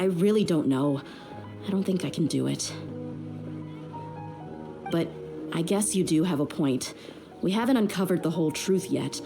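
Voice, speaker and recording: An actress playing a tough young woman mercenary in a video game, blunt and world-weary, with a guarded, hurt edge. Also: low-pitched. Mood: confused, hurt. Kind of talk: dramatic video game dialogue.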